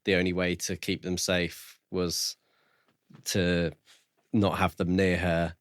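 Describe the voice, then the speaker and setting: Guy on a book podcast, lowish voice, kinda musing, chatty, really dry recording. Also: little pitch movement, takes it slowly, no echo. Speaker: podcast host. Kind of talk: casual book review discussion.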